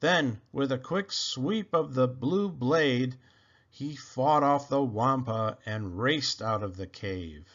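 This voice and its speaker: Guy reading an adventure book out loud, slow pace, bit dull sounding recording. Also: mid-range voice. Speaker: storybook reader. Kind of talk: scripted read-aloud storytelling.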